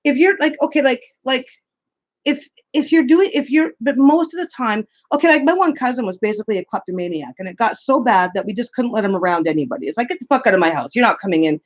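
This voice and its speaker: A woman's voice: on the high side, lively intonation, fast. A woman with a scratchy voice co-hosting a casual live stream, rambling with many restarts and repeats. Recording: dull lo-fi stream audio.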